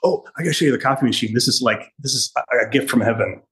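A man in his fifties giving an enthusiastic, casual walking tour over a phone video call, speaking in a halting way. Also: mid-range voice, fairly bright tone, breathy edge. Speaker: podcast host giving a studio tour. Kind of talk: casual chat, walk-through tour. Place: indoors, walking through studio offices and hallways. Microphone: phone held at arm's length on video call.

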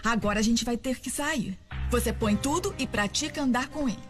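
An actress with a Brazilian accent dubbing an elegant, scheming telenovela sister-in-law, coaxing and insistent. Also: female voice, a little high, a little fast, natural voice. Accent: Brazilian Portuguese. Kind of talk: dubbed telenovela acting. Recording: music under dubbed dialogue.

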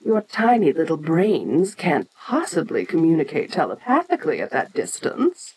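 A woman playing an ancient, domineering giant kaiju in an audio roleplay, haughty, deliberate and curious, talking down to a lesser creature. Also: sits low, wildly swooping pitch, a little mellow, husky, full character voice, rough recording. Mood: puzzled, condescending.

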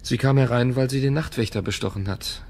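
An actor as a gravelly, wary tech founder. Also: male voice, mid-range voice, fairly quick, darkish tone, lightly acted. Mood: guarded. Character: wary, world-weary male tech company founder. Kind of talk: German audio drama dialogue.

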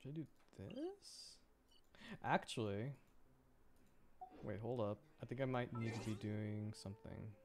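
A man's voice: fairly low pitch, lively intonation, unhurried, pauses often, background noise. A man chatting casually on a game livestream, muttering and thinking aloud to himself as he plays. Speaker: VTuber game streamer. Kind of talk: casual livestream gameplay chat.

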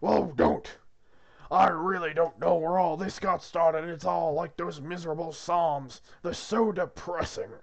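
A man's voice: a little high, fairly even intonation, a little slow, vocal fry, highs cut off. A man voicing an irritable God, commanding and declamatory, in a comic script reading. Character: booming, irritable God handing down a sacred quest.

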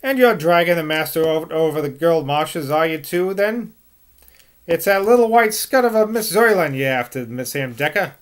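A man reading aloud and voicing a defiant, sharp-tongued older woman servant in a broad West Country rustic dialect. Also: expressive, normal speed, close to an everyday voice. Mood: scornful. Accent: put-on West Country rustic dialect. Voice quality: shrill, scolding, broad rustic speech. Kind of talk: amateur audiobook reading.

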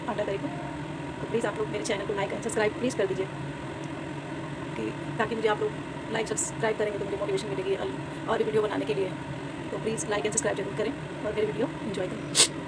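A woman hosting a home cooking channel, chatting to viewers as friends while cooking at the stove. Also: mid-range voice. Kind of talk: casual step-by-step cooking talk. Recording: loud sizzling and kitchen noise.

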